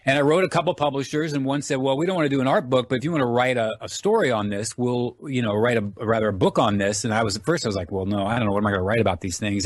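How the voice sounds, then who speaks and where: An American man with a medium-pitched voice talks as a guest over an internet call. He is eager and chatty, rambling through his stories in a casual interview style. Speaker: guest, actor and film director.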